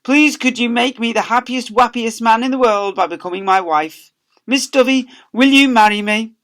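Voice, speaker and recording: Man playing a gushing, high-pitched lovestruck schoolteacher. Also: normal speed, a bit bright. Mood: lovestruck pleading. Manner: melodramatic, overwrought declarations. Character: lovestruck, gushing, soppy male English teacher.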